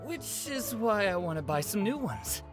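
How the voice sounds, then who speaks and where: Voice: mid-range voice, very animated, normal speed, over music, close to an everyday voice. An actor playing a gullible young customer in a video game, casual and hesitant. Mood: puzzled, glum.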